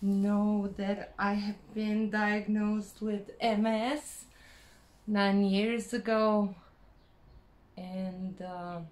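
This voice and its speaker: A Croatian-accented woman talks to her camera in English, her second language, hesitating and reaching for words with plenty of fillers. She speaks very slowly at an ordinary pitch. The sound is roomy with a clear echo. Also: flat delivery, a little mellow. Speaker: vlogger. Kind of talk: personal vlog monologue.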